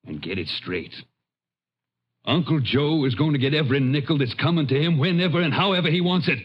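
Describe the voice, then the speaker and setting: An actor playing a righteous, quick-tempered farmer with a rural Texan drawl in a vintage radio western. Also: male voice, on the high side, lively intonation, breathy edge. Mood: firm, indignant. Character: righteous, hard-working farmer, stern and quick-tempered brother. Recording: muffled vintage radio recording.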